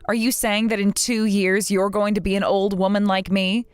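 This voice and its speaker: A woman in her thirties voicing a patient, polite bride-to-be who speaks in a soft, measured, restrained way. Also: mid-range voice, flat delivery, clear, resonant tone, no echo, lightly acted. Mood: hurt. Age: 30s.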